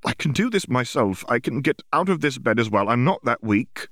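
Man voicing frantic teenage schoolgirl, pitched up. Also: big pitch swings, fairly bright tone, no echo, studio quality. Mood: protesting. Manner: urgent, pleading, rushed delivery. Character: anxious, driven teenage schoolgirl, frantic and stubborn. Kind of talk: audiobook character voice.

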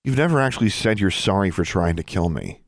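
A man voicing a fierce, grieving teenage girl witch in a storybook reading, with a high strained voice. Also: expressive, quick pace, no echo, studio quality. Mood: bitter. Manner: high strained voice, shouting to whispering.

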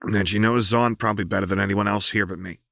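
Volatile audiobook heroine, read by a man: sharp-tongued, slangy, blunt, close dry recording. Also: fairly low pitch, fairly even intonation, quick pace, vocal fry, dry recording. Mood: firm. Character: brash, volatile woman rebel, loyal and sharp-tongued.

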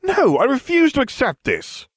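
Man playing a cocky, animated schoolboy. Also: mid-range voice, fairly quick, no echo, clean studio sound, voice pushed up. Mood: indignant. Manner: pompous, glib. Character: cocky, self-righteous schoolboy, indignant and oblivious.

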